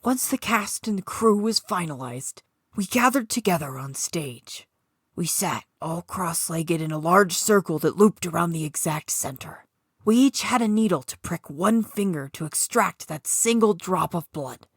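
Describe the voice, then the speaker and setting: Female narrator for supernatural fiction, scripted read, lower register, very dry recording. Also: expressive, measured pace, slightly rough, no room sound. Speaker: author reading own short story.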